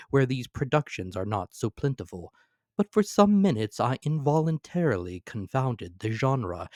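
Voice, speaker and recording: A man's voice: mid-range voice, no echo, clean studio sound. A man narrating a classic story as a dramatic reading, with theatrical, emphatic phrasing. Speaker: audiobook narrator.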